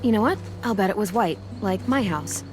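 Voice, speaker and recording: A woman playing a caring, steady teenage girl survivor in a video game, speaking with an American accent in a reassuring, dryly teasing way. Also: mid-range voice, normal speed, background noise, natural voice. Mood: gently teasing. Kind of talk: video game voice acting, casual dialogue. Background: background music.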